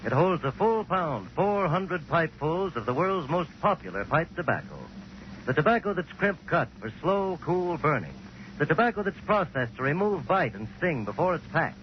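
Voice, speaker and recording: A man's voice: a little high, big pitch swings, moderate pace. A man reading a 1940s radio advertisement as announcer, with an emphatic sales delivery. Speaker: commercial announcer. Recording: muffled, hissy 1940s radio recording.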